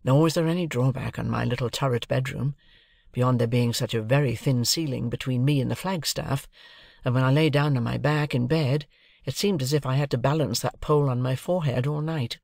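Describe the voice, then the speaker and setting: A male audiobook narrator reads literary prose. The voice is mid-pitched with noticeable creak, recorded dry with no room sound. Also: fairly even intonation, brisk, a little mellow, no room sound.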